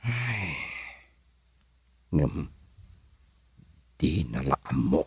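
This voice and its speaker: An actor playing a gruff, guarded businessman boss who deals in shady trade, in a radio drama. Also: very deep voice, sing-song, extremely slow, no room sound, exaggerated voice. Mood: brooding. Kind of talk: Ilocano radio drama acting.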